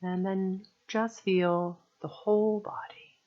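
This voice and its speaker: A slightly dull, lo-fi recording carries a woman's voice at a middling pitch. She leads a guided relaxation like a practised meditation teacher. Her delivery is gentle and soothing, set out in measured phrases with long pauses between them. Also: fairly even intonation.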